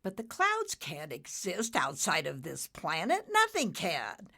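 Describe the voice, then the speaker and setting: A woman voicing a loud, matter-of-fact male cloud who keeps order. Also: fairly low pitch, wildly swooping pitch, a little slow, exaggerated voice. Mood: firm.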